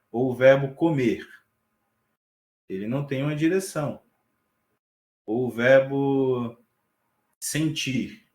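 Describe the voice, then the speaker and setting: A man, teaching a class live over a video call, explaining calmly and checking in with his students often. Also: mid-range voice, reverberant. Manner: relaxed explaining with frequent check-ins to students. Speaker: sign language teacher. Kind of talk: online class lecture.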